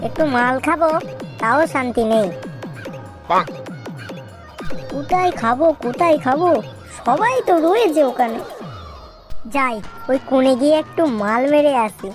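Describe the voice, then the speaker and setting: A woman's voice: high-pitched, animated, moderate pace, music in the background. A woman voicing a cheeky, boozing young troublemaker in a comic cartoon, with brash, slangy speech. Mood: grumbling. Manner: brash, crude slangy talk.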